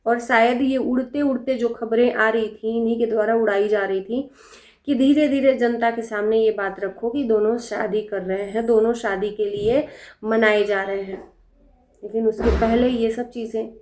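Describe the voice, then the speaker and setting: A woman's voice: fairly high pitch, steady tone, moderate pace, a little mellow. A woman giving casual, opinionated commentary in a pointed manner, with rhetorical questions and repeated phrases. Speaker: reaction video host. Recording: echoey, reverberant room.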